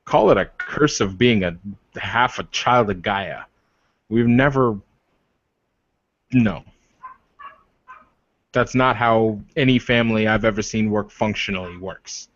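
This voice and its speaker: Werewolf warrior leader, played by a male gamer: protective, proud, unhurried, lowish voice, dull lo-fi sound. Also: fairly bright tone. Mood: insistent, reassuring. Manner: slow, emphatic, declarative speech. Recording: roomy, dull lo-fi microphone.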